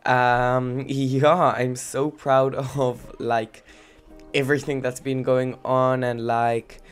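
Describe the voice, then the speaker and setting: A man's voice: mid-range voice, flat delivery, slow, clean tone, soft background music. A young man with a blocked, stuffy nose from a cold, giving rambling, giggly gameplay commentary. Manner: rambling, giggly, many fillers. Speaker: let's-play gamer.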